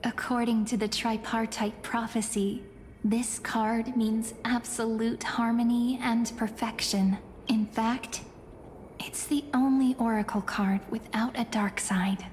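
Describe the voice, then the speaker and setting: A woman voicing a calm, mysterious fortune teller in scripted game dialogue, recorded with heavy reverb. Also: on the high side, takes it slowly, a little hiss or hum. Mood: mysterious, measured. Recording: long hall reverb over music.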